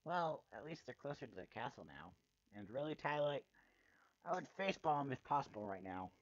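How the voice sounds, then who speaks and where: A man narrates fiction in a dramatic, sardonic style. His voice sits at an average pitch. The recording is very dry and somewhat dull and lo-fi. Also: very animated, normal speed, dry recording. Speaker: amateur fanfiction reader. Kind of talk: dramatic first-person story narration.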